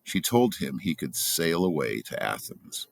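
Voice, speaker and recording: Very deep, gravelly man reading a story. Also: very animated, unhurried, dry recording. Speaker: storybook reader. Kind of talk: read-aloud narration.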